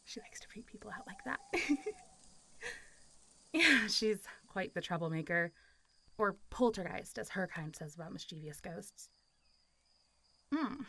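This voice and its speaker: A woman's voice: medium pitch, pushed and bright. A woman playing a friendly, teasing, centuries-old zombie girl in an intimate roleplay audio drama, talking in a casual, playful way. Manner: casual, playful, teasing, often laughing and sighing.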